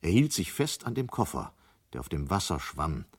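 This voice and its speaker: A man's voice: deep voice, big pitch swings, moderate pace, slightly rough. A man narrating a scripted radio play in German as its storyteller.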